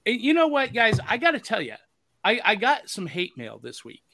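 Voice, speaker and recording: A man's voice: sits high, wildly swooping pitch, moderate pace, vocal fry, sharp tone, no room sound. A man hosting a pop culture podcast, upbeat and jokey in casual chat. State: hoarse from overuse. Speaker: podcast host.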